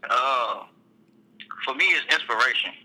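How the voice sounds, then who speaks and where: A man, a rapper, talking about his music in a casual phone interview, laid-back and halting, often repeating words. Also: medium pitch, expressive, pushed and bright, husky. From Texas, USA. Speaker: rapper guest. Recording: tinny phone line.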